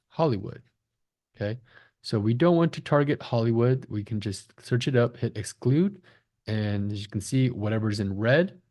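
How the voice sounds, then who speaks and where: A man's voice: medium pitch, mellow. A man giving a conversational step-by-step screen-share tutorial as an instructor, often checking in with short tags. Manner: conversational, frequent check-in tags like "right?" and "okay?". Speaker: online marketing instructor, agency founder.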